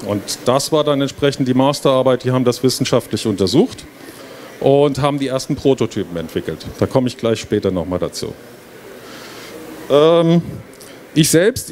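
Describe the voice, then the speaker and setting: Middle-aged male academic, mid-pitched, explaining informally into a handheld mic on a stage, noisy fair hall behind. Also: moderate pace, a little mellow. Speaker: professor of game design, presenter. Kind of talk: informal lecture presentation to an audience. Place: stage at an indoor book fair. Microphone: handheld microphone. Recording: clear background noise of fair hall. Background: trade fair hall ambience.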